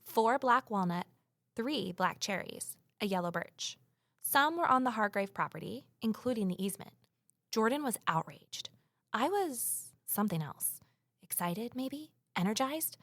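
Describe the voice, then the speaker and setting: An actress playing an eager, easily flustered rookie conservation officer and amateur sleuth in an audio drama, earnest and inquisitive. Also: female voice, on the high side, expressive, measured pace, fairly bright tone, no room sound, heavily acted. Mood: reflective. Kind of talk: naturalistic audio drama acting with first-person narration.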